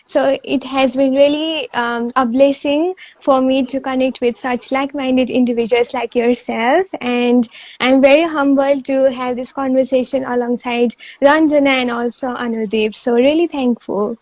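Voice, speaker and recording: A woman's voice: sits high, steady tone, moderate pace, no room sound. A young woman from Nepal, a student, introducing herself on a panel in a grateful, polite manner. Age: young adult. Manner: grateful, polite, soft-spoken. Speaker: student and page creator.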